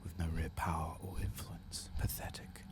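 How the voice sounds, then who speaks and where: A man reading out a disgraced, indignant noble's servant from a fantasy game, in a hushed, breathy, formal ASMR delivery. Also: deep voice, flat delivery, normal speed, close to an everyday voice. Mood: scornful.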